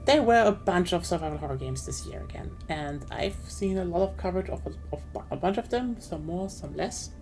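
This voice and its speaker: A woman's voice: lowish voice, animated, a little fast, hardly any pauses, a little mellow. A woman hosting an award livestream, talking in an informal and opinionated way. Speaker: award show host. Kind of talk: casual livestream commentary. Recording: faint music behind the voice. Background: faint music.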